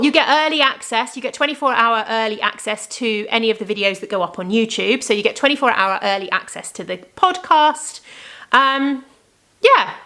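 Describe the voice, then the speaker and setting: A woman with a fairly high voice talks through her crafts in a loose, enthusiastic, rambling way. Her voice is slightly worn, as if it is starting to go. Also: pushed and bright. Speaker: crafting podcast host and yarn dyer. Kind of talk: casual show-and-tell chat.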